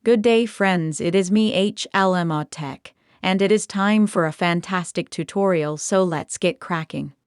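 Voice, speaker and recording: A woman's voice: fairly low pitch, a little slow, hardly any pauses, clean tone, dry recording, clean studio sound. A woman's voice made with an AI voice changer, delivering a scripted greeting. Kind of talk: scripted greeting turned into an AI-morphed voice.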